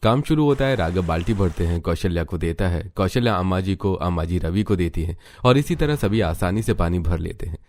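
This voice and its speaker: A man reading scripted Hindi narration for an animated family story as its narrator. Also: low voice, fairly quick, hardly any pauses. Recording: music behind the narration.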